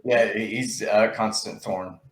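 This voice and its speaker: A man's voice: fairly low pitch, fairly even intonation, takes it slowly, roomy sound. A man from Tennessee, answering interview questions as an author, hesitant and repeating his words. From Tennessee, USA. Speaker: author guest.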